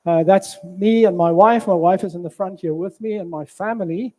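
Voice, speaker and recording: A man in his sixties from South Africa with a South African accent, a physician giving a halting health talk at a church, with many fillers. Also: high voice, a little mellow. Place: church hall.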